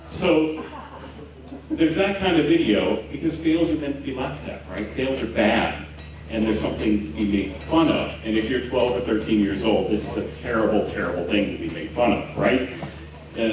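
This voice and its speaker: A man in his forties from Houston gives a loose, joking festival talk, stumbling over restarts and fillers. His voice sits fairly low and comes from a distance, washing around a big echoing tent. There's a light hiss of ambience underneath. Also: normal speed, over music. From Houston, Texas. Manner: chatty, jokey, lots of fillers and restarts. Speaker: conference speaker. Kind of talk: live conference talk. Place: large tent at an outdoor festival. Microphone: handheld mic. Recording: roomy tent captured from a distance.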